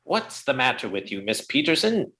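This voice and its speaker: A woman's voice: very deep voice, sing-song, normal speed, fairly bright tone, heavily acted. A woman playing an exasperated, sarcastic male office boss, blustery and withering, in an old-time radio comedy.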